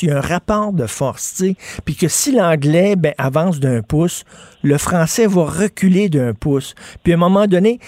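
A man with a Quebec French accent hosting a radio talk show, quick and emphatic, repeating words as he builds his point. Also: fairly high pitch, darkish tone, no room sound. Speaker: radio host.

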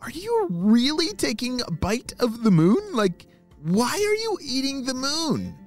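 A woman's voice: sits high, huge pitch swings, a little slow, faint music behind, voice pushed up, full character voice. A woman voicing an eager, curious young boy in a children's story, with exclamations and hesitant stammers. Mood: nervous, curious.

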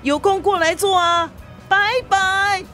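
An actress in a radio drama playing a nosy, excitable middle-aged mother with a Singaporean accent, probing and exclamatory. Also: very high-pitched, slow pace, faint music behind, heavily acted. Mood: eager, welcoming.

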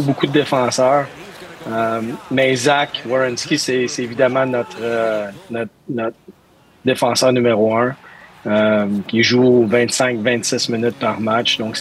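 A man's voice: medium pitch, steady tone, unhurried, low-quality recording. A man from Montreal with a Quebec French accent answering interview questions as a hockey coach, hesitating and repeating words as he searches for them.

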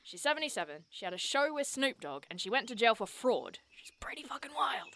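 Actress as a high, lively, brash young woman adventurer. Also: brisk. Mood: teasing, dry. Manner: slangy, swearing, teasing, excitable. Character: brash, foul-mouthed, sarcastic young woman on a monster hunt. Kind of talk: audio drama acting.

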